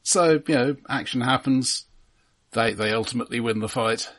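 A man co-hosting a casual film discussion, dry and measured, choosing his words with some halting. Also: mid-range voice, a bit bright. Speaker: film podcast co-host. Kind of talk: casual film review chat.

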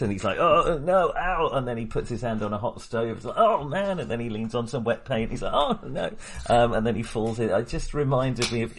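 Hesitant, low-voiced man chatting casually. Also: slight background noise. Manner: hesitant, halting delivery. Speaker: podcast co-host. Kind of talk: casual film discussion.

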